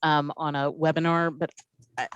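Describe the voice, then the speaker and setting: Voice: medium pitch, flat delivery, moderate pace, fairly bright tone, clean tone, dry recording, dull audio. Give me a presenter at a committee meeting, chatting informally over a video call and leaning on plenty of fillers. The voice sits mid-range with a dry, close sound and no room around it. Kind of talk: meeting discussion.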